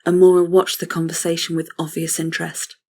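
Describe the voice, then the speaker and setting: Husky, low-voiced woman narrating a story. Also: monotone, a little fast, darkish tone. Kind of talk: scripted dramatic story narration.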